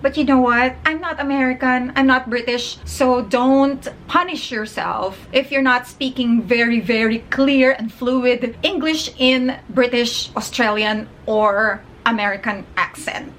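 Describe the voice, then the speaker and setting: A woman's voice: a little high, unhurried, few pauses, a bit bright, slight background noise, low-quality recording. A young woman with a Filipino accent, a native Tagalog speaker, giving chatty, encouraging advice in a casual vlog. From Philippines. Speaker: vlogger and ESL teacher.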